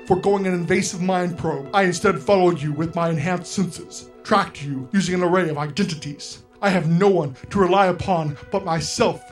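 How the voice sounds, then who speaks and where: A man acts out an alien superhero in a radio drama, guarded and earnest. The voice sits quite high for a male and speaks in formal, measured, theatrical phrases. Soft music runs beneath it. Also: natural voice. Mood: solemn. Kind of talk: superhero radio play acting. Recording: music playing under the voice.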